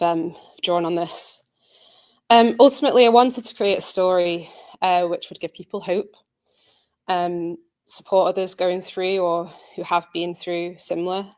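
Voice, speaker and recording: A woman's voice: fairly low pitch, gravelly, a little mellow. A woman giving an informal presentation as writer, earnest and hesitant in her delivery.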